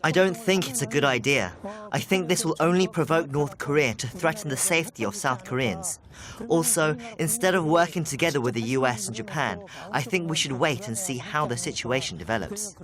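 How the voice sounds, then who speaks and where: Man interviewed amid clear crowd noise. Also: on the high side, expressive, brisk. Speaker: interviewee giving an opinion. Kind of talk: interview answer.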